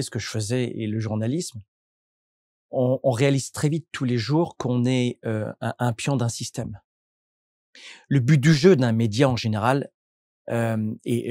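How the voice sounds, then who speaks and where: A man's voice: medium pitch, darkish tone, no room sound, clean studio sound. A man from France, a coach and entrepreneur, answering interview questions in a reflective, explanatory way with frequent restarts.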